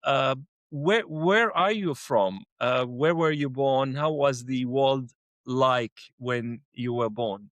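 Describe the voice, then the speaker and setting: A man's voice: medium pitch, slow, fairly bright tone, dry recording. A middle-aged man from Iraq talking in a thoughtful conversation, with frequent restarts and fillers. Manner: hesitant, many restarts and fillers. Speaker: educator, co-host. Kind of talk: conversational dialogue.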